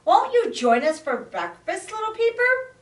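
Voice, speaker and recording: A woman's voice: fairly high pitch, big pitch swings, slow, fairly bright tone, heavily acted. A woman as a polite curious possum. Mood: earnest. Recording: roomy, distant mic.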